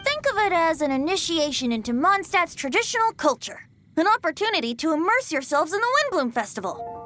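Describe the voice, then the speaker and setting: High, lilting, playful boy bard. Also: sing-song, fairly quick, a bit bright, soft background music, heavily acted. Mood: grandiose, cheerful. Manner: theatrical, flowery, teasing. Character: playful mischievous young male bard, theatrical self-styled teacher. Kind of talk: animated video game voice acting.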